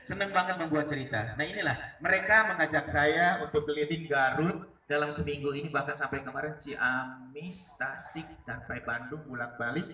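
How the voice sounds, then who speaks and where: A man telling anecdotes in an expressive, theatrical way to listeners. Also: on the high side, moderate pace. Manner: expressive, acts out dialogue. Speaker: storyteller speaking to an audience. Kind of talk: animated anecdotal storytelling. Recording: roomy, distant mic with faint noise.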